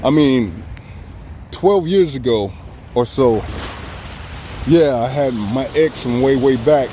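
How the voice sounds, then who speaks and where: Male vlogger with a Southern Californian accent, mid pitch, relaxed and repetitive, recorded outdoors at the beach over faint surf. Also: slow, slight background noise. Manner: relaxed, rambling, repeats himself, chuckles often. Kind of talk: casual tribute vlog. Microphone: phone or camera filming himself.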